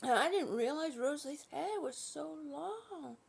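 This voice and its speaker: Woman, British, livestream host, casual chat, high voice, slow, soft whispers, affectionate. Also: animated, vocal fry. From British, born in Singapore. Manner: affectionate greetings to viewers, calls them honey and sweetie. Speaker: livestream host chatting with viewers. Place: at home in bed.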